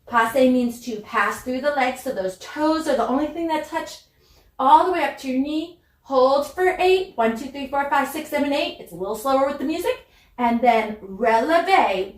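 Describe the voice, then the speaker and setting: A woman giving ballet class instruction as a dance teacher, demonstrating and counting in an encouraging, instructive way. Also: on the high side. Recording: roomy echo, distant mic, music behind.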